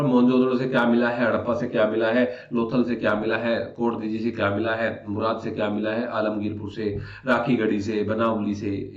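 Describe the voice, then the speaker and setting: A man teaching history in an informal exam-review lecture, repeating phrases for emphasis and answering his own questions. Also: mid-range voice. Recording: roomy echo, distant mic, dull sound.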